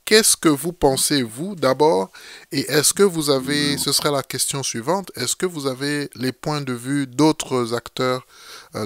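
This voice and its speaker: A male radio host leads an interview, stacking questions and cutting in to push for more. His pitch is mid-range, and the studio sound is dry and close. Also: fairly bright tone, dry recording.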